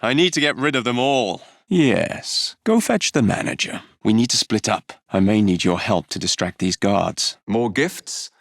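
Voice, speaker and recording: An actor playing a cocky young Victorian London rogue in a video game, cheeky and teasing with an English accent. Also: male voice, lowish voice, animated, a little slow, vocal fry, few pauses, dry recording, studio quality, exaggerated voice. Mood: businesslike, wry.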